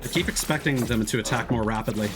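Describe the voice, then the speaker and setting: A man's voice: fairly low pitch, fast. A man giving casual commentary while live streaming a video game. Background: game music.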